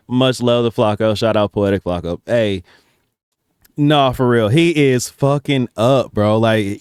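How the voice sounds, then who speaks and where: A man's voice: mid-range voice, a little mellow, clear, resonant tone, no room sound, studio quality. A man giving casual reaction commentary as host, with profane, slangy talk.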